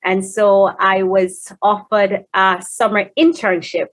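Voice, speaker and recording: A woman's voice: medium pitch, level tone, slow. Jamaican woman, conversational podcast guest. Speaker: guest, technology company founder. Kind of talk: conversational interview answers.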